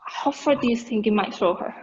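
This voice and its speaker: A woman reading the part of an excited amateur football commentator in a play table read, calling the action play by play. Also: a little high, a little fast, lo-fi sound, slight background noise, close to an everyday voice. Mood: focused.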